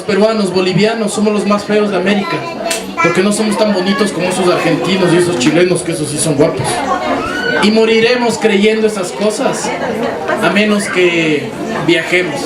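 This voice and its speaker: A man from Ecuador with an Ecuadorian highland Spanish accent, giving an impassioned storytelling talk to an audience, with dramatic pauses and acted-out quotes. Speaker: speaker addressing an audience. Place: large hall with an audience. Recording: echoey hall, distant mic, loud audience.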